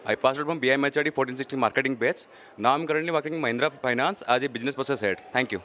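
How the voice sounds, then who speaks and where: A young man from eastern India with an Indian English accent, briskly and confidently introducing himself on a handheld mic indoors. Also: mid-range voice, pushed and bright. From Odisha, India. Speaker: business school alumnus. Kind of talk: short self-introduction to camera. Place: indoor room at a convocation. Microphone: handheld microphone.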